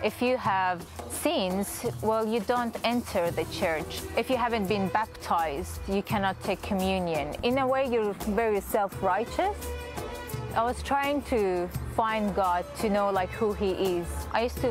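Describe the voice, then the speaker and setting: A woman in her thirties, raised in Iran and now in Australia, tells her story quietly and thoughtfully, often leaning on "like". She speaks outdoors into a lapel mic. A clear music bed plays under her voice. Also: medium pitch, a little slow. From born in Iraq, raised in Iran, lives in Australia. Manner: soft, reflective, sprinkles "like". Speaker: testimony guest. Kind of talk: personal testimony interview. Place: outdoor garden.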